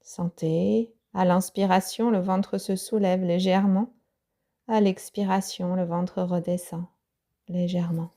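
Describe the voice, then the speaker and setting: A woman yoga teacher guiding a yoga nidra relaxation, speaking softly with breathy, half-whispered phrases. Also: on the low side, fairly even intonation. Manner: slow, soft-spoken guidance with breathy, half-whispered phrases.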